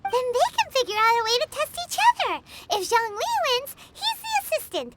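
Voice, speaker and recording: A woman voicing a tiny, bossy, childlike female companion sprite in a video game, squeaky and emphatic. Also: big pitch swings, moderate pace, fairly bright tone, a little hiss or hum, heavily acted. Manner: emphatic, refers to self in third person. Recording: music behind the voice.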